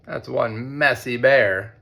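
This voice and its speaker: A man narrating a children's storybook interactively, expressive and playful, pausing to put questions to his listeners. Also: medium pitch, gravelly. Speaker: read-aloud storyteller, teacher.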